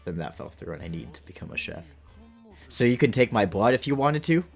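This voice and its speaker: A man's voice: medium pitch, sing-song, fairly quick. A man in his late twenties chatting casually and rambling through anecdotes as he streams a game to viewers. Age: late 20s. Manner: rambling, anecdotal, acts out quoted remarks. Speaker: video game livestreamer. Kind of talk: casual chat with viewers while gaming. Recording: music behind the voice.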